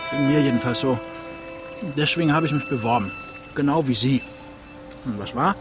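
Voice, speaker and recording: An actor playing an easygoing, modest police officer in a radio drama, talking in a casual, understated, conciliatory way. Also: male voice, mid-range voice, normal speed, over music, close to an everyday voice. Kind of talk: naturalistic radio drama acting.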